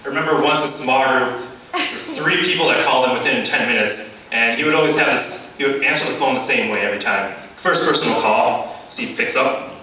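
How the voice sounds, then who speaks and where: A young man in his twenties doing deadpan stand-up comedy at a student open mic. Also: medium pitch, a little fast. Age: 20s. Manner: deadpan running gags. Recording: echoey room from far off with loud crowd noise.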